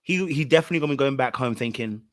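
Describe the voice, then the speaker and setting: A man's voice: mid-range voice, rapid-fire, dry recording, clean studio sound. A young man from Ghana hosting a casual podcast about a reality dating show, chatty and laid-back, laughing easily. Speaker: podcast host.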